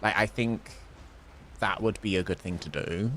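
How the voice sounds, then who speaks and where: A man's voice: low voice, normal speed, a bit bright, slight background noise. A man streaming a game, chatting casually and rambling while answering chat questions. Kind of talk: casual gameplay commentary.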